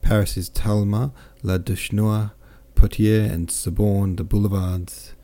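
Guy reading a cheery bragging french captain, loud and exclamatory, deep dark voice. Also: flat delivery, measured pace. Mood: boastful. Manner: chatty, exclamatory, swaggering. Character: jovial boastful male French army captain.

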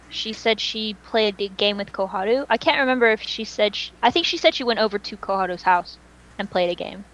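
A woman's voice: medium pitch, fairly bright tone, muffled recording. A woman podcast host chatting casually and giving her opinions, with plenty of "like" and some swearing. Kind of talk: casual fan chat.

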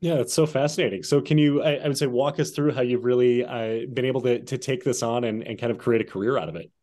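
A man's voice: mid-range voice, steady tone, fast, a little mellow. A man co-hosting a podcast in a conversational style, with an enthusiastic, affirming manner. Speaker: podcast co-host.

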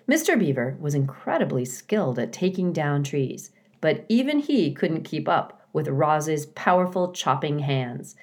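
A woman narrating a children's novel aloud as an expressive storybook reader. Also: low-pitched, unhurried, hardly any pauses.

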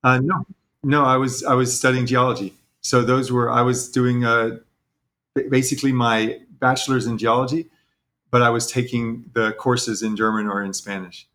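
A man's voice: medium pitch, level tone, normal speed, a bit bright. A man from Texas talking casually as an interview guest, in a self-deprecating way. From Texas, United States.

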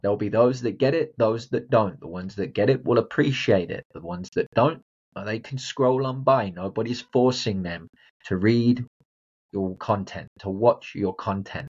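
Blunt man, husky voice. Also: fairly low pitch, moderate pace, darkish tone, lo-fi sound. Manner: emphatic, blunt, direct address to listener. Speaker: podcast host and confidence coach. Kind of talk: motivational solo podcast.

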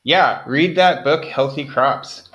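Bright-voiced young man, rambling and joking. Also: on the high side, slow pace. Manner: rambling, self-deprecating, many fillers. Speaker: podcast guest, farmer. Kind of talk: casual interview chat.

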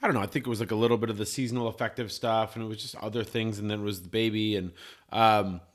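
A man's voice: fairly low pitch, fast talker. A man hosting a podcast, talking casually with lots of "like" and "um" and laughing through stories. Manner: lively, laughing storyteller with loose fillers. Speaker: podcast host. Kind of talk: casual chat.

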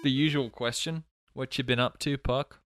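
A man's voice: medium pitch, fairly even intonation, normal speed, loud music behind the voice. A man with a cold and a nasal voice, hosting a podcast in a chatty, teasing way. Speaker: podcast host. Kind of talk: casual chat.

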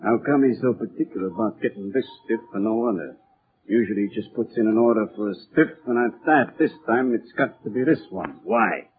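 An actor playing a cool, wisecracking body snatcher with deadpan gangster slang, in 1940s radio drama style. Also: male voice, on the low side, a little fast, breathy edge. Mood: wary, muttering. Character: cool, wisecracking male body snatcher, street-tough crook. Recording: tinny, hissy old 1940s radio recording.

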